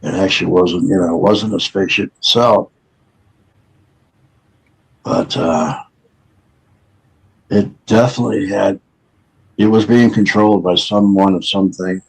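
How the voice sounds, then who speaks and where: A man with a deep, gravelly voice, recalling a memory haltingly while under hypnotic regression. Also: fairly even intonation, low-quality recording. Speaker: regression subject.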